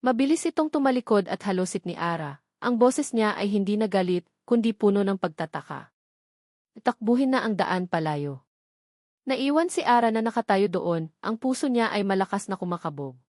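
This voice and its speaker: A woman's voice: medium pitch, animated, normal speed, darkish tone, dry recording, clean studio sound. A woman with a Filipino accent reading scripted narration of a romance story.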